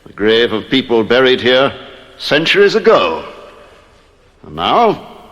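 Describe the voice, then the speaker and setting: A man's voice: mid-range voice, wildly swooping pitch, measured pace, fairly bright tone. An actor playing a vengeful murderer who savors and repeats his words in theatrical old-time radio horror style, courteous on the surface. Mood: gloating menace. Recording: noisy vintage radio drama recording.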